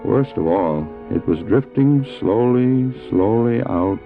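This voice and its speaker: Animated, creaky-voiced dog-sled driver, tinny tape. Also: male voice, medium pitch, takes it slowly. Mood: grave, suspenseful. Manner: earnest storytelling with urgent calls to his dogs. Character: anxious, determined male dog-sled driver stranded on ice. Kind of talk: first-person dramatic narration, radio drama. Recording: tinny narrow-band old cassette, music behind.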